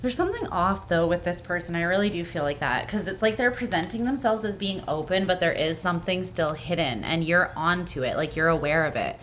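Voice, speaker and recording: A woman with a fairly low voice gives a tarot reading straight to the viewer. She talks in an earnest, reassuring way, full of "like" and "um". Her voice is going hoarse, so she keeps clearing her throat. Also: animated, a little fast, hardly any pauses, fairly bright tone, slight background noise. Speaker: tarot reader. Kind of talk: pick-a-card tarot reading, speaking to the viewer.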